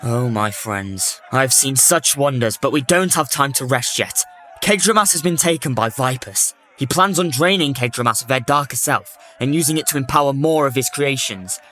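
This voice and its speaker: A man plays an earnest fantasy hero with a medium-pitched voice and a grave, urgent delivery. There is faint noise in the recording. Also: a bit bright, lightly acted. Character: earnest, urgent male hero warning of great evil. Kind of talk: fantasy audio drama acting.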